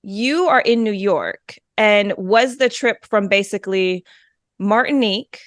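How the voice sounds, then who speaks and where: A woman's voice: mid-range voice, measured pace, no echo, clean studio sound. A woman hosting a podcast, chatting in a bubbly, effusive way and gushing over her guest. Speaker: podcast host. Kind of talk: casual interview conversation.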